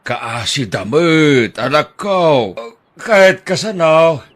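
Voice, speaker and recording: A man acting in an Ilocano radio drama, his voice lively and wide-ranging. He speaks slowly, in a warm fatherly tone, affectionate with each line. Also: fairly high pitch. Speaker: actor in audio drama.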